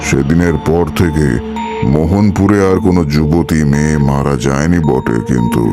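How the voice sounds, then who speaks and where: A man playing a grave, elder tantric priest in a horror cartoon, speaking in a solemn, measured way. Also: extremely low pitch. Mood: grave, warning. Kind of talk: dramatic horror cartoon dialogue and narration. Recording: music behind. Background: music.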